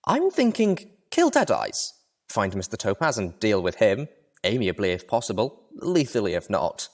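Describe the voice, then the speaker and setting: A man's voice: fairly low pitch, huge pitch swings, moderate pace, exaggerated voice. A man voicing a small young female pony, an earnest and determined adventurer who speaks emphatically and pleadingly.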